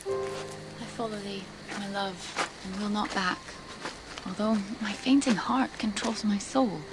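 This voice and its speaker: A woman's voice: medium pitch, over music, close to an everyday voice, rough recording. An actress playing a passionate, anxious young noblewoman in love, speaking poetic verse in a classical radio drama. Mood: uneasy, tender. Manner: poetic, deliberate verse delivery.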